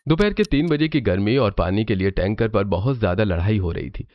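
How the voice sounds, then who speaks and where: A man's voice: lowish voice, lively intonation, a little fast, dry recording, lo-fi sound. A man reading scripted narration for a cartoon family story.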